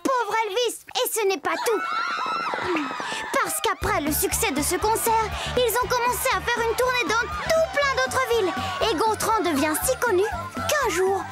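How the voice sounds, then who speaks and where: Little girl cartoon storyteller: extremely high, excitable, cheeky, talking straight to viewers, prominent music bed. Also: a little slow. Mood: storytelling. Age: child character. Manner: playful, chatty, addresses the viewer directly. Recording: loud children's music under voice.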